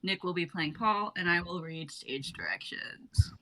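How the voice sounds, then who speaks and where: A woman's voice: on the low side, measured pace, a bit bright, low-quality recording. A woman in her twenties announcing and narrating script directions as a writer on a livestream. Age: 20s. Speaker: writer and stage-direction reader.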